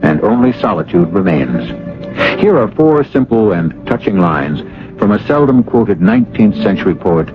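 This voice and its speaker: A man hosting a radio mystery series with dramatic, deliberate, literary narration. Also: lowish voice, big pitch swings. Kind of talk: theatrical scripted radio narration. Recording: dull old radio broadcast, music.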